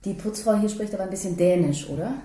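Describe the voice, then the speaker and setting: Woman acting lady detective in a radio play, mellow and brief, echoey with a little noise. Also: medium pitch, quick pace, lightly acted. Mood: attentive, questioning. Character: female police detective assisting an interrogation. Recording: roomy echo with faint noise.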